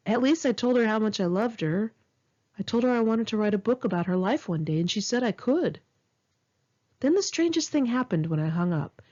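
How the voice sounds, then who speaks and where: Female author narrating her own memoir audiobook; conversational, animated intonation, slightly lo-fi sound. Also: medium pitch. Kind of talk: first-person memoir narration, audiobook read in one take.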